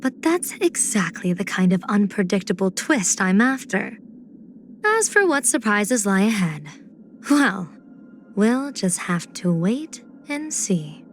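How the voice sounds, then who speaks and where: A woman playing a playful, scheming, poised general and diviner in a video game, speaking in measured, teasing, elegant phrases. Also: mid-range voice, big pitch swings, slight background noise. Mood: playful, intrigued. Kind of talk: video game character voice acting.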